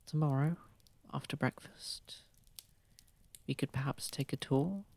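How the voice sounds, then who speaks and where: Courtly vampire of the manor an actor's role: breathy, gravelly, gentle and unhurried, intimate ASMR delivery. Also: medium pitch. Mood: soothing, warm. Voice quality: breathy, husky, slightly creaky. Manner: soft-spoken, soothing, unhurried, slightly formal. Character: posh, gentle, protective vampire lord of a manor. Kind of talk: ASMR roleplay, soft intimate acting. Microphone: close microphone, dry.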